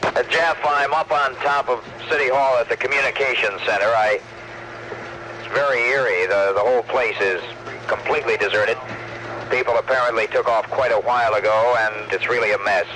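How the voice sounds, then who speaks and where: Male actor as shaken eyewitness newsman, radio drama: halting, stammering, awestruck, mid pitch, clearly noisy two-way radio sound. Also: natural voice. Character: shaken, awed radio field reporter watching a city's collapse. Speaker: field reporter.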